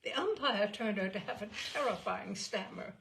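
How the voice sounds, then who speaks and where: A woman's voice: medium pitch, moderate pace, creaky, fairly bright tone, a little hiss or hum. A woman reading literary stories aloud as a storyteller, in a dramatised narration style. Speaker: storyteller, reader of literary selections.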